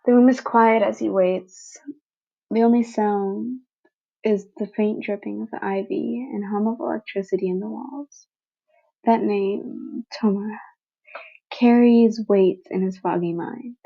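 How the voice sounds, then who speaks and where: Slow-paced woman narrating a dramatic story. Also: medium pitch, steady tone, creaky. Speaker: fan fiction narrator. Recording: dull lo-fi home recording.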